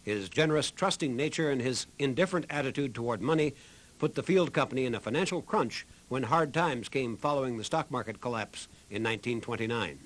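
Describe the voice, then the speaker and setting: A man reading scripted documentary narration in a measured, earnest manner. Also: mid-range voice.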